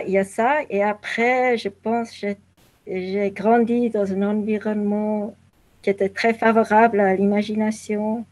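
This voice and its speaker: A woman from southern Africa answering interview questions reflectively, halting as she searches for her words. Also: mid-range voice, darkish tone, low-quality recording. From southern Africa (Zambia and Zimbabwe). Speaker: interview guest, painter.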